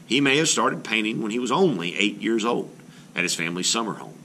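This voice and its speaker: A man reading a children's book aloud as an art teacher, in an expressive, explanatory way meant for children. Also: on the low side, brisk, slight background noise, rough recording.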